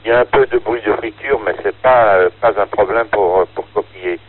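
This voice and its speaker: An older man talking casually over amateur radio, giving call signs and speaking in a hesitant, halting way. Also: on the low side, husky. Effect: two-way radio. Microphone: radio transceiver microphone. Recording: narrow, lo-fi two-way radio link.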